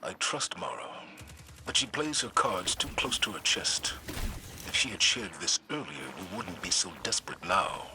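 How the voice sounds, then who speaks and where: A man's voice: sits low, sing-song, normal speed, pushed and bright, slight background noise, heavily acted, low-quality recording. A man voicing a stern veteran military commander in a video game, speaking firmly and with authority. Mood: grave. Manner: firm, authoritative, clipped. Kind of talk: video game cutscene voice acting. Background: orchestral game score.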